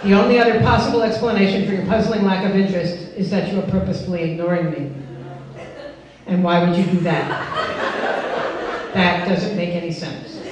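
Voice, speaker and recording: A woman's voice: medium pitch, lightly acted. Woman reading as pushy, obsessive dog, echoey hall. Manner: pompous, wheedling, insistent formal phrasing. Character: obsessive, pushy, self-centred male dog pleading to play. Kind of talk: live comic story reading. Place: live benefit event before a laughing audience. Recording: echoey noisy hall, far from mic.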